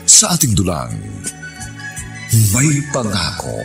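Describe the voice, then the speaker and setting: Man, radio drama announcer, very slow delivery, theatrical swings, music behind. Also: on the low side, wildly swooping pitch, gravelly. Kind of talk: slow dramatic scripted narration. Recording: voice over loud music.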